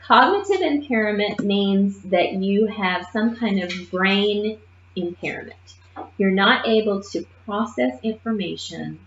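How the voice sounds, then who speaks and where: A woman's voice: mid-range voice, a bit bright, a little hiss or hum. Woman, nursing assistant instructor, emphatic classroom lecture, keeps checking in with "okay?"; muffled, echoey room sound. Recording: muffled roomy classroom recording.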